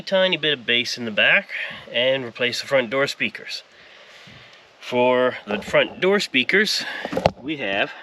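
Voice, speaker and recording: A man's voice: a little high, pushed and bright. Man hosting a car how-to, noisy background. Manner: conversational, step-by-step explaining. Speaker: car audio installer hosting a tutorial video. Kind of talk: casual how-to vlog narration. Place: car repair shop.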